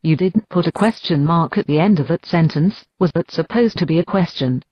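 A synthetic female chatbot voice reads out short replies in a flat, even manner. The sound is dry and dull, with a muffled lo-fi quality. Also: on the low side, normal speed, husky, no echo. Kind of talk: text-to-speech reading chat replies.

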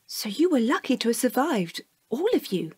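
A woman's voice: fairly high pitch, sing-song, normal speed, darkish tone, husky, no room sound, full character voice. An actress playing an inquisitive woman who interviews a war veteran in a radio drama, conversational and curious.

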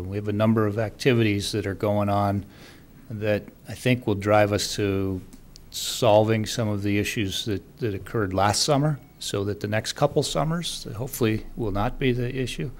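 Low-voiced man chairing a town board. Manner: halting, with many fillers and restarts. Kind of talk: formal meeting chairing. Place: town meeting room.

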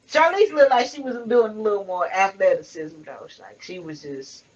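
A woman's voice: mid-range voice, normal speed. A young woman, chatting casually and slangily with a giggly, swearing manner. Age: young adult. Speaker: livestream panelist. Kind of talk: casual chat. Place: living room at home. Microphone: headset on a video call. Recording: dull, lo-fi video call audio.

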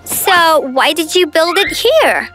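A woman's voice: very high voice, sing-song, slow, sharp tone, faint music behind, full character voice. A woman voicing a cheerful, curious young girl in a children's cartoon. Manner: curious, friendly.

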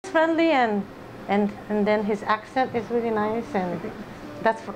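A woman's voice: mid-range voice, animated, measured pace. A woman chatting about a chef as a diner, amused. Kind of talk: casual interview comment. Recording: distant with street noise.

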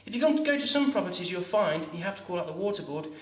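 Guy teaching plumbing in a workshop, highish voice, bit far from the mic, echoey room. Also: lively intonation, rapid-fire, slight background noise. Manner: practical, instructive, stumbling restarts. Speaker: plumbing instructor. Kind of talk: hands-on demonstration lesson.

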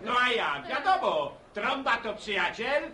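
A man's voice: sits high, sing-song, unhurried, bright, forceful tone, full character voice. A man playing a jovial, teasing village bandsman who likes a drink, in a radio play. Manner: folksy, bantering, speaks in rhymed sayings. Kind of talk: Polish radio serial acting. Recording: roomy, distant old radio recording with crowd.

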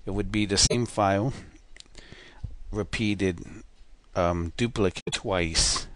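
Deep-voiced man walking through software. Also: slow pace. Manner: relaxed, rambling, with fillers and self-corrections. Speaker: software tutorial host. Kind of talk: casual screen-recorded tutorial.

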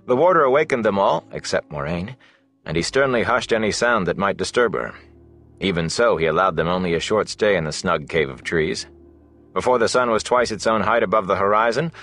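Low male audiobook narrator reading fantasy, measured but expressive, music behind him. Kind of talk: scripted fantasy novel narration.

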